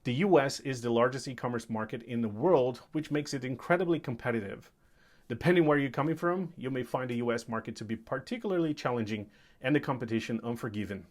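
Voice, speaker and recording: Male podcast host and interviewer, medium-pitched voice, presenter delivery. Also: fast. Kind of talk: scripted intro and interview questions.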